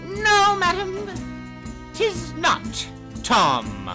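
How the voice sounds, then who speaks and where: A man voicing a gleeful, spiteful little imp from a fairy tale, with a theatrical, gloating, drawn-out delivery. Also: very high-pitched, wildly swooping pitch, over music, pitched above the performer's own voice, exaggerated voice. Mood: gloating, taunting.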